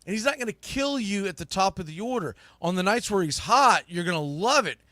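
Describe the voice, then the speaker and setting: Bright, forceful male sports podcast host. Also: sits high, expressive, a little fast, no echo. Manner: opinionated, informal, mildly salty. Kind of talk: casual live sports talk.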